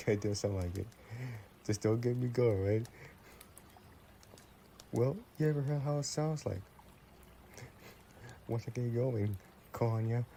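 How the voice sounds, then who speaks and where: A man playing an affectionate, playful vampire boyfriend in an intimate roleplay audio, chatting in a gentle, teasing way. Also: mid-range voice, takes it slowly, long pauses, a little hiss or hum. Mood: playful, chatty.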